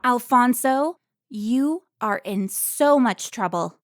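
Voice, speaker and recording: A woman's voice: a little high, expressive, slow, slight background noise. A woman playing a stern, protective member of a vampire slayer guild in a cinematic audio roleplay, commanding and scolding. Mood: furious, scolding.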